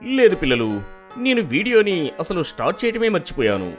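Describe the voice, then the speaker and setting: A man's voice: high-pitched, sing-song, brisk, faint music behind. A man voicing a jolly, teasing middle-aged father with a hearty manner in a children's cartoon story.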